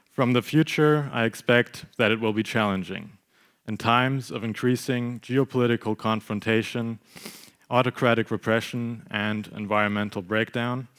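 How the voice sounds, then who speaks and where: Young male youth speaker, prepared statement delivery, crisp and somewhat low. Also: fairly bright tone. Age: young adult.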